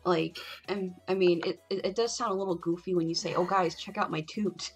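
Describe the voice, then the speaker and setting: A woman with a husky, gravelly voice chatting casually on a livestream, rambling and reading out messages. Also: lowish voice, fairly even intonation, fairly quick, faint music behind. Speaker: co-host of a morning livestream.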